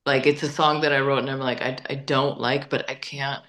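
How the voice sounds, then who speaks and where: A woman's voice: a bass voice, little pitch movement, brisk, a bit bright. A woman singer-songwriter being interviewed, talking in a chatty, emphatic way and often repeating words while she thinks. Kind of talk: casual interview conversation.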